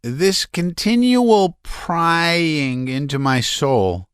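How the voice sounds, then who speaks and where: A man reading aloud, voicing a hysterical young man who shouts and stammers in a high strained voice. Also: expressive, extremely slow, clean tone, no echo, clean studio sound. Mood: agitated. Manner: shrill, stammering, near-shouting.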